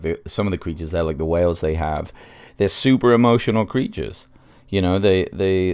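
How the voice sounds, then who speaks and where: Deep-voiced man podcasting with a head cold. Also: darkish tone, clear, resonant tone. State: congested with a cold. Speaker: podcast host and reviewer. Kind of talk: casual solo podcast commentary.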